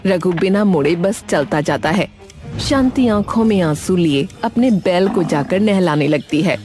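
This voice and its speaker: Expressive woman narrating a story. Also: on the low side, measured pace. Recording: music under the voice.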